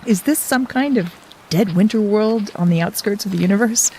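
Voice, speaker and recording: A woman's voice: mid-range voice, normal speed, warm tone, slight background noise, close to an everyday voice, low-quality recording. A woman playing a wry, self-doubting young time traveler in an audio drama, with a wistful, confiding manner. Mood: wry, unimpressed. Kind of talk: audio drama, reflective letter narration and dialogue.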